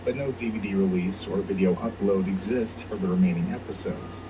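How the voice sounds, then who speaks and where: A man's voice: sits low, brisk, over a noisy crowd. A man reading scripted narration for a list video, heard as noisy replayed audio.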